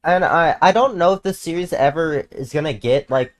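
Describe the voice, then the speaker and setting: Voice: medium pitch, normal speed. A reaction video host giving casual, rambling commentary peppered with "like". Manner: rambling, frequent use of like.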